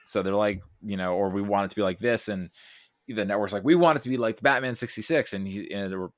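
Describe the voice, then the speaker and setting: A man in a casual pop-culture discussion, talking haltingly and often rephrasing mid-thought. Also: on the low side, no echo, clean studio sound. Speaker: podcast guest.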